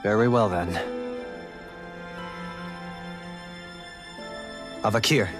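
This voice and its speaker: This is a calm, formal lord in a video game, voiced by a man with a low, even delivery. He chooses his words carefully and speaks with quiet authority. A strong musical score plays beneath him. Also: lightly acted. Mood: thoughtful. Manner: measured, formal and composed. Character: calm, thoughtful noble lord, adult man. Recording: game audio over music.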